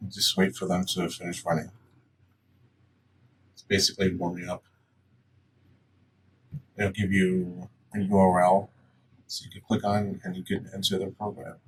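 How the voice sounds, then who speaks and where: Low-voiced man giving a software tutorial. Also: completely flat delivery, a little slow, long pauses. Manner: plain-spoken, instructional. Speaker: tutorial presenter. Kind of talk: casual step-by-step software tutorial. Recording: distant mic, faint background noise.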